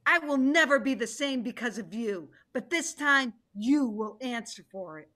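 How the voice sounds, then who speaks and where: Wrathful tiger leader, played by a woman, commanding, bright, lively pitch, stage drama. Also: moderate pace. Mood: angry. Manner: commanding, declarative. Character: stern, wrathful female tiger who leads the council. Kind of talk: dramatic play reading. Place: home room, video call.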